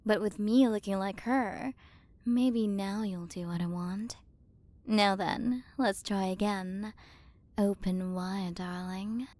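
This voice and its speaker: Woman acting as alien galaxy queen, haughty, possessive, condescending, teasing, ASMR roleplay, very dry close sound, medium pitch. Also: steady tone, slow, a little mellow, no echo, close to an everyday voice. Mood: commanding, coaxing.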